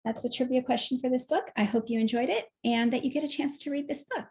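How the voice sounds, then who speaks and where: A woman's voice: sits high, quick pace, a little mellow. A woman in her forties, a children's author presenting a picture book to young viewers. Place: small room at home.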